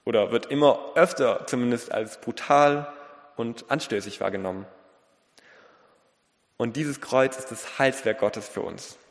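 A man delivering a sermon in an earnest, deliberate way, in short phrases with pauses and a slight lisp. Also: medium pitch. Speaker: preacher. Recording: long reverberant hall.

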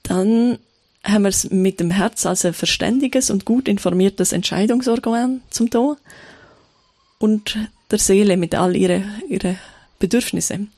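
Woman preaching, gravelly, dry sound. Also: medium pitch, measured pace, mellow, no room sound. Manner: thoughtful, with frequent fillers and restarts. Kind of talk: sermon.

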